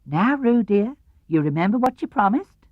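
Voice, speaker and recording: Storybook mother kangaroo, played by a man: raised pitch, gentle, coaxing, maternal. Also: very animated, moderate pace, no echo, dull audio. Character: kind motherly female kangaroo.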